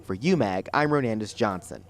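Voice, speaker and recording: A man's voice: on the low side, lively intonation, normal speed. A young man reading a scripted student TV news report.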